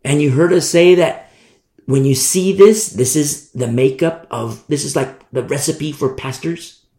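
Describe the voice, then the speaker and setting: A man preaching a sermon in an emphatic, repetitive style, swinging from hushed to loud. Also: on the high side, unhurried. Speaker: pastor. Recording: roomy echo.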